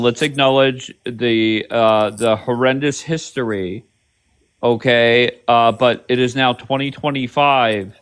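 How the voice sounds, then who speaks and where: A man hosts a heated live-stream debate, talking bluntly and swearing freely. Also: medium pitch. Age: 50s. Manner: blunt, profane, pushy.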